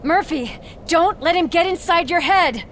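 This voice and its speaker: An actress playing a tough police partner in a video game, calling out in an urgent, forceful way. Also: female voice, extremely high pitch, moderate pace. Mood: alarmed. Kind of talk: video game cutscene acting. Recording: clear vehicle noise behind.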